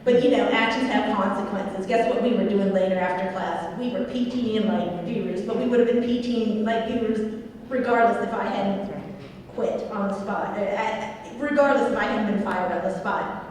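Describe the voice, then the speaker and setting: A woman's voice: mid-range voice, moderate pace, a little mellow. A woman in her forties from Iowa gives a prepared speech at a memorial ceremony, reading her remarks with frequent small restarts and self-corrections. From Iowa, United States. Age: 40s. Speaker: veteran speaker at a ceremony. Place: large university event hall. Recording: echoey hall from far off, faint noise.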